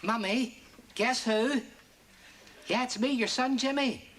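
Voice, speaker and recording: A comedian man doing a stand-up routine as a pretend phone call to his mother, firing off quick one-liners. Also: very high voice, a loud crowd, heavy background noise.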